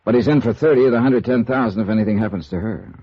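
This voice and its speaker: An American actor playing a wry, brash, hard-boiled insurance investigator in a 1950s radio noir drama. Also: male voice, lowish voice, quick pace, slightly rough. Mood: brisk, probing. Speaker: lead actor of a radio detective series. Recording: muffled vintage radio recording with music.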